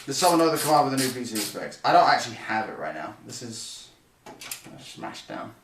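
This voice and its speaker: A man's voice: mid-range voice, big pitch swings, brisk, creaky. Chatty man live-streaming a PC build. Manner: rambling, self-correcting, chatty with viewers. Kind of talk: casual live-stream chat. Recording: roomy, slightly distant, faint noise.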